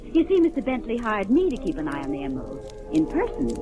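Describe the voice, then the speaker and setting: Young female private eye, 1940s radio drama: pert, self-assured, animated, slightly high voice, music underneath. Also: fast. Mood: pert, teasing. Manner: pert, bantering.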